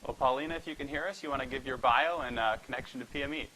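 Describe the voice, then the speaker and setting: A man's voice: medium pitch, racing, pushed and bright. A man moderating a panel discussion with short prompts that hand over to each speaker. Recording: echoey room sound.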